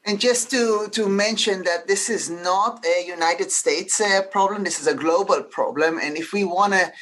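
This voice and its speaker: A woman from Israel with an Israeli accent, speaking earnestly as a panelist in an expert discussion, with frequent short pauses. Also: fairly low pitch, fairly bright tone.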